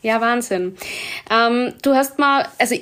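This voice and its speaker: A woman's voice: on the high side, a little slow, fairly bright tone, smooth. A woman hosting a podcast and interviewing a guest over a video call, chatty and enthusiastic. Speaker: podcast host.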